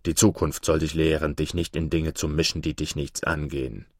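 A man voicing an arrogant, bad-tempered male wolf who talks down to the fox in a gruff, grumbling way. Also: steady tone, dry recording, studio quality. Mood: condescending. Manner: gruff, grumbling, low and slow.

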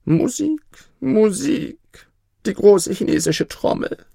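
Male narrator as a panicked dying old emperor, high-pitched and strained, quite a dry sound. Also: animated, a little slow, gravelly, dark tone, slightly rough, dry recording, exaggerated voice. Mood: desperate pleading. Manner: high, strained, desperate cries.

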